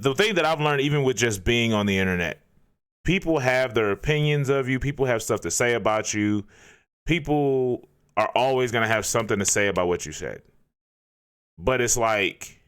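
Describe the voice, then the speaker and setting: A man's voice: medium pitch, a bit bright, smooth. A man hosting a reaction video, ranting in disbelief and firing off rhetorical questions. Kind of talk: casual reaction commentary.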